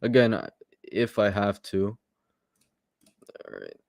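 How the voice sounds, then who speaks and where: A man with a low voice hosts a casual live stream. He has a minor stutter and often repeats words and pauses on fillers. The sound is dry and close, with no room echo. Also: wildly swooping pitch, gravelly, a little mellow. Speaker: stream host. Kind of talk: casual livestream commentary.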